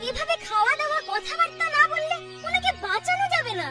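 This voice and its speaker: A performer voicing a polite, caring young village housewife in a Bengali family cartoon, courteous and expressive. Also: very high voice, fast talker, far from the mic, music in the background. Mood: surprised, worried.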